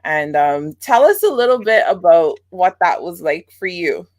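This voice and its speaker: A woman with a Barbadian accent hosts and interviews in a deliberate, emphatic way. Her voice sits a little low. She leans on key words as she speaks. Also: big pitch swings, a little mellow. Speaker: host. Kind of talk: event hosting and interview.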